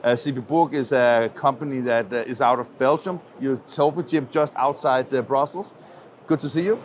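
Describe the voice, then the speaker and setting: A man's voice: mid-range voice, normal speed. A man interviewing on camera at a trade show, with hesitant, conversational phrasing. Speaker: interviewer for a web TV channel. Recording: faint background noise.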